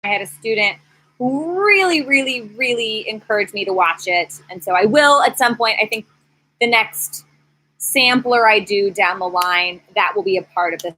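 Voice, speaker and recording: A woman in her thirties with a bright, forward voice at an ordinary pitch. She talks in a candid, rambling way, like a host casually answering viewers on a live stream from an outdoor porch. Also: animated, normal speed, low-quality recording. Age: 30s. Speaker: YouTube host, former teacher.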